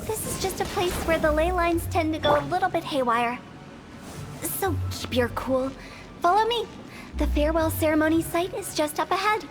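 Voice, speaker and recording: A mischievous young girl mortician, very high and impish, game audio with music underneath. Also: expressive, moderate pace. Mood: breezy. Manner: teasing, breezy, mock-ominous. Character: playful, mischievous young female funeral director. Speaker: game character. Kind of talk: video game voice acting.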